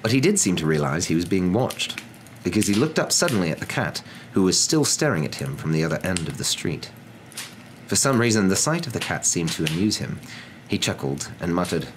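Very deep young male storyteller. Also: noisy background. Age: young adult. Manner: soft-spoken, dramatic. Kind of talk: ASMR story narration.

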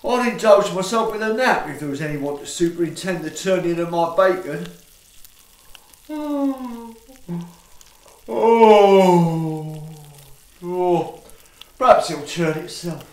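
A grumbling, easily affronted printer in a Victorian farce, performed by a man. His voice is high and lively, turning grandiloquent and melodramatic as in stage comedy. The audio-play recording is echoey and a little off mic. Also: slow pace, long pauses, far from the mic. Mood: sleepy, grumbling. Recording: roomy echo, away from the mic.

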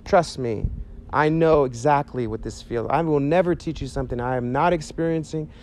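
A man in his thirties preaching outdoors to a camera, earnest and animated, talking casually with fillers. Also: a little high, moderate pace, a little hiss or hum. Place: outdoors by a chain-link fence on a sunny day. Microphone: handheld mic, medium camera shot.

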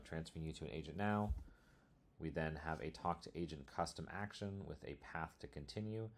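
Guy with a super low voice walking through a software demo, informal and explainy. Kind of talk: casual screencast tutorial walkthrough.

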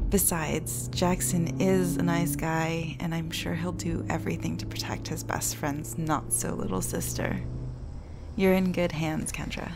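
A woman plays a protective, reassuring sister in an audio drama over background music. Her voice is fairly low, with a strong creak. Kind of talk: audio roleplay acting.

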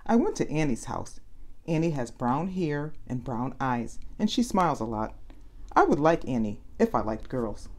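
A woman with a deep voice reads a children's book aloud. Her intonation is lively and swoops up and down. She phrases things deliberately, stretching words for emphasis. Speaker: storyteller reading children's books aloud. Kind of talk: read-aloud story narration.

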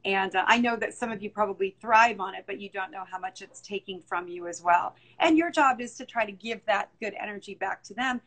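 A woman's voice: medium pitch, a bit bright, poor audio. Rambling middle-aged woman hosting a live chat. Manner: rambling, filler-heavy, earnest. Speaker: host. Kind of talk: live video chat interview. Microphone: video call on phone.

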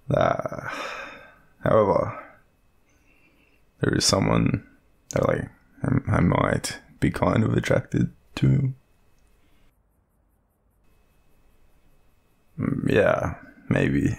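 A man's voice: low-pitched, creaky, slightly rough, full character voice. An Australian man playing an awkward, joking young guy with a secret crush, rambling and hesitant in an intimate, casual audio roleplay. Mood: flustered, shy. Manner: rambling, hesitant, self-deprecating, swearing.

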